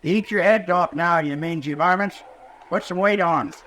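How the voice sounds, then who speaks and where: An actor playing a stubborn, grumbling old hog farmer with a rural Western American accent in an old-time radio western. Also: male voice, high-pitched, normal speed, bright, forceful tone, a little hiss or hum. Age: older. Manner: folksy, grumbling, combative.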